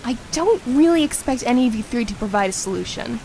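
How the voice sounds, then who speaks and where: A woman voicing a commanding, sharp-tongued young female mage in a visual novel, dry and cutting in manner. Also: a little high, lively intonation, quick pace, slight background noise, rough recording. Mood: scornful. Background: soft game music and rain.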